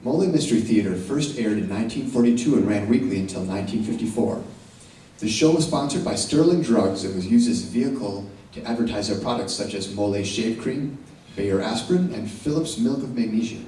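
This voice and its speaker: A man's voice: fairly low pitch, lively intonation, fairly quick, warm tone. A middle-aged man introducing a show from a theater stage in a plain, informal host's style. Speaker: event host introducing shows. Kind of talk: casual stage introduction, partly read. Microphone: stage PA, far camera. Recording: very echoey hall, distant mic, faint noise.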